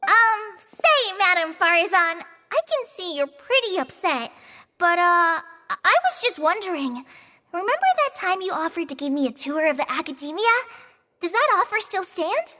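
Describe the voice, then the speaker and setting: A woman voicing spirited, expressive female characters in a fantasy adventure video game. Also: very high voice, normal speed, heavily acted. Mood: flustered. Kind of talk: animated video game voice acting. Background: background music.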